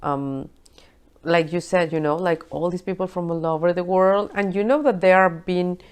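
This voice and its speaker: A woman's voice: on the low side, moderate pace, clean tone. A woman interviewing a guest, asking questions and giving frequent murmurs of agreement. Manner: steady back-channeling, prompting questions. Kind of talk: casual interview.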